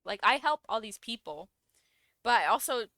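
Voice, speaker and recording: Casting: female gaming creator, slightly high bright voice, unhurried casual slangy delivery, close and dry. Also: big pitch swings, no echo. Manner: giggly, playful, slangy. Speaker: gaming content creator reacting to a story quest. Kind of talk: casual playthrough commentary.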